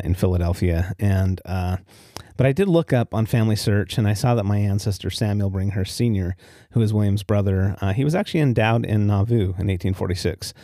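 A man's voice: on the low side, dark tone, dry recording, studio quality. An American man hosting a podcast alone, telling stories in a casual, easygoing way and given to chuckling at his own remarks. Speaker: podcast host. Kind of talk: casual solo storytelling podcast.

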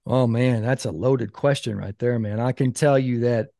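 A man in his fifties, a podcast guest and author, talking in an animated, emphatic storytelling way. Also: mid-range voice, normal speed, creaky, no echo, studio quality. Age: 50s. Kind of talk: casual podcast conversation.

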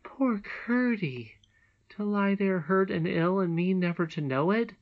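A woman's voice: medium pitch, very animated, unhurried, highs cut off, voice pushed up. A woman voicing a sweet, trusting young princess in a storybook, earnest, emphatic and childlike. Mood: tender, excited. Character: sweet, trusting young princess, little girl, eager and polite.

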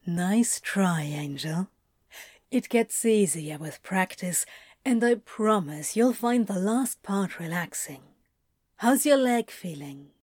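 A woman voicing an easygoing, teasing male yoga teacher in audio fiction, gentle, reassuring and playful. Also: medium pitch, lively intonation, slow, no echo, clean studio sound. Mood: teasing, encouraging. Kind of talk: audio fiction character acting.

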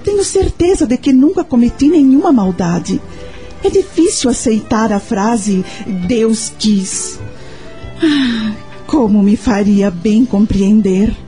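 A woman's voice: on the high side, lively intonation, takes it slowly, warm tone, faint music behind. An actress with a Brazilian accent playing a devout, earnest mother searching for answers in a radio soap opera. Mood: troubled. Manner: earnest, questioning. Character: devout, troubled young mother seeking answers.

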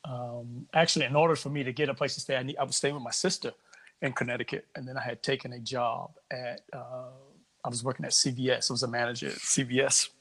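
A middle-aged man from Barbados hosts a loose conversation. He talks in an animated way, jumps in eagerly, and often starts his sentences over. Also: medium pitch, fast, husky. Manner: animated, interjecting, with restarts. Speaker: interview host. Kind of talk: casual interview chat.

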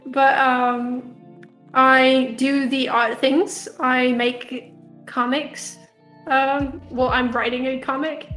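A woman's voice: sits high, flat delivery, slow pace, a bit bright. A young woman from South Africa with an Australian accent, chatting casually as a podcast guest, giggling and rambling with lots of fillers. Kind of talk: casual chat. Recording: echoey room, faint music behind.

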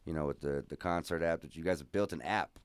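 A man's voice: extremely low pitch, clean tone, no room sound. A man hosting a casual radio interview, easygoing and encouraging, keeping the talk moving. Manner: easygoing, encouraging, quick backchannels. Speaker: radio host.

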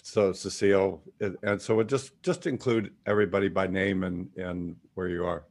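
A man with a fairly low voice runs a video call and moves the introductions along briskly. He sounds practical and organizing. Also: steady tone. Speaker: host. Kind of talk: moderating online introductions.

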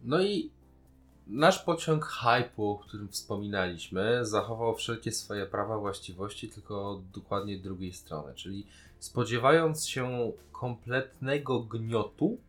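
A man's voice: fairly low pitch, measured pace, fairly bright tone, soft background music. A man co-hosting a casual podcast discussion, talking in an informal, blunt way. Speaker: podcast co-host.

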